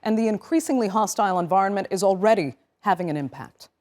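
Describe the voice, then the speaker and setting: A woman's voice: medium pitch, darkish tone. Woman presenting a news show. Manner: clear, measured delivery with emphatic openers.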